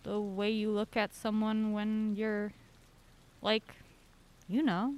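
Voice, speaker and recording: A woman's voice: medium pitch, flat delivery, takes it slowly, darkish tone, clean tone, lightly acted. An actress playing a wry, guarded woman on an anxious road trip, dry and sardonic, in an audio drama. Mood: guarded, tender.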